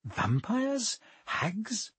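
A man voicing a polite, curious young boy who asks soft, plain questions. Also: high voice, wildly swooping pitch, very slow, husky, no echo, voice pushed up, heavily acted.

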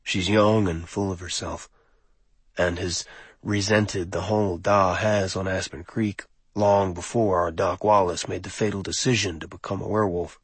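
A man's voice: deep voice, fairly even intonation, normal speed, breathy edge, no room sound. A man voicing a grim, protective male werewolf in a restrained murmur, as in an audiobook. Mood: quiet disapproval. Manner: murmuring, almost silent, restrained. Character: hushed, grim, protective two-hundred-year-old male werewolf.

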